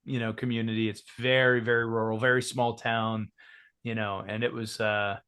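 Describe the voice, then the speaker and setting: A man's voice: fairly low pitch, little pitch movement, moderate pace, fairly bright tone, smooth. A man in his thirties from Georgia in the United States, a musician talking loosely in an interview, with many fillers and restarts. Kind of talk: casual interview chat.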